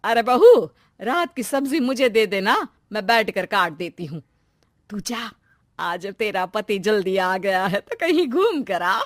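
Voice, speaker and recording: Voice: medium pitch, expressive, unhurried, lightly acted. An actor playing a fussy but caring older mother-in-law in a cartoon family drama.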